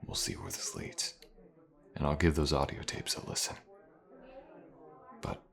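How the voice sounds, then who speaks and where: A man performs a fantasy mercenary for an intimate audio roleplay. The voice is extremely low and full of gravelly fry, and the delivery is slow, wry and steadfastly loyal. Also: level tone, fairly bright tone, exaggerated voice. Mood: reflective, relaxed.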